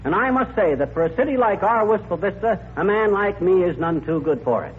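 A man's voice: high-pitched, lively intonation, fairly quick. A man playing a boastful small-town husband puffed up with importance, pompous and folksy, in 1940s radio comedy style. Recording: narrow, hissy old radio broadcast.

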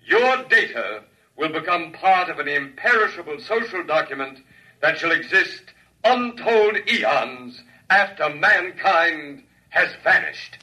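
A grandiose orator projecting from a little way off the mic. His voice is piercingly bright and fairly high, solemn and urgent, declaiming to a crowd in old radio drama style. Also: expressive, takes it slowly, distant mic, exaggerated voice, low-quality recording. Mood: grand, urgent. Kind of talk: classic radio drama acting, speech to a crowd.

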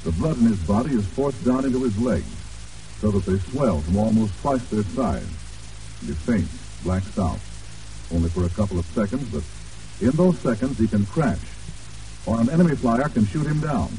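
Animated 1940s American radio announcer, male. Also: fairly low pitch, slight background noise. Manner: formal, polished, deliberate emphasis. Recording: old 1940s radio broadcast, distant, music.

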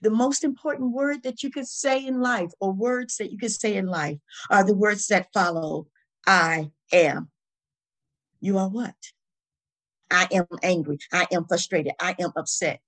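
A woman's voice: mid-range voice, unhurried, a bit bright. A woman being interviewed, talking in a reflective, conversational and motivational way, emphatic, with repetition and rhetorical questions. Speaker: interview guest. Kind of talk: conversational spiritual and motivational talk.

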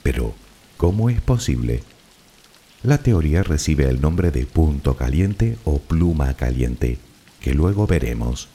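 A man with a Canarian Spanish accent narrates a sleep relaxation in a very deep, mellow voice. His tone has a slightly breathy, husky edge. The delivery is soft and unhurried, meant to lull the listener. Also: big pitch swings. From Canary Islands. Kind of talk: slow guided relaxation narration.

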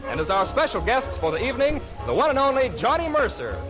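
High-pitched swinging 1940s American radio announcer, male. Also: wildly swooping pitch, fast, poor audio. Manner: theatrical, hip jive showmanship. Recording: vintage 1940s radio, music behind. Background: music.

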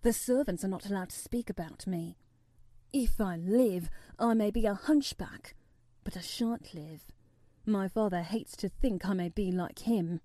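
A sickly young boy from a storybook, played by a woman, plaintive and imperious at once. The voice is soft-edged and mellow with an anxious, demanding manner, recorded dry and close. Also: mid-range voice, measured pace, no room sound, lightly acted. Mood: fretful.

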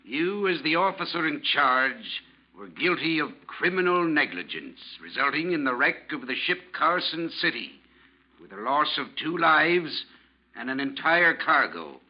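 A man's voice: on the high side, big pitch swings, unhurried. A man playing a stern, formal judge who declaims a ruling in a 1940s radio drama. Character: stern, formal male judge of a maritime court. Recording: muffled old 1940s radio recording.

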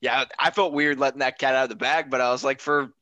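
A man hosting a casual podcast, throwing quick jokes and quips. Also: medium pitch, fairly even intonation, sharp tone, no echo, muffled recording. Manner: joking, quick quips, laughs often. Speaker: podcast host.

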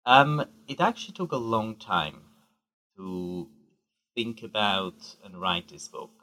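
A man's voice: on the low side, animated, slow pace, pushed and bright, rough recording. A middle-aged man from Switzerland, a guest author in a podcast interview, who talks with many fillers and hedges.

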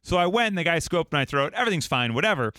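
A man's voice: fairly high pitch, big pitch swings, quick pace, bright, forceful tone, clean tone, no echo. A man co-hosting a radio after-show, chatting and joking in casual banter.

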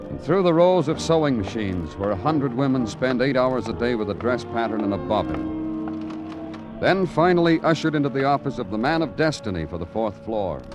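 A man's voice: mid-range voice, close to an everyday voice. An actor playing a world-weary, poetic big-city police detective who narrates his case in florid, brooding radio noir style. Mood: somber. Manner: brooding, florid, dramatic phrasing. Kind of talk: 1940s-50s radio noir acting and narration. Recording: vintage radio recording with music.

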